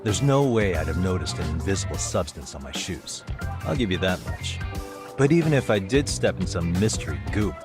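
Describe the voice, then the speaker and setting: A man's voice: low voice, sing-song, moderate pace, music in the background. A man voicing an easygoing, wry lawyer turned investigator in a video game, with casual phrasing. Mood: wry, exasperated.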